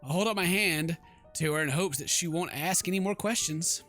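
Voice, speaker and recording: A man hosting a game playthrough, mixing jokey commentary with theatrical read-aloud narration in an animated manner. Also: fairly high pitch, moderate pace, soft background music. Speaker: gaming video host.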